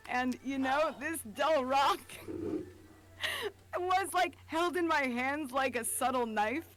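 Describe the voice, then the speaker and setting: A woman's voice: sits high, animated, slow pace, fairly bright tone. A woman co-hosting a casual livestream, chatting in a joking, giggly, profane way. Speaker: stream co-host.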